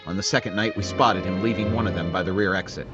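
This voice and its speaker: An actor playing a calm police detective who narrates his case in a terse, matter-of-fact radio drama style. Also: deep voice, fast, muffled recording, loud music behind the voice, lightly acted.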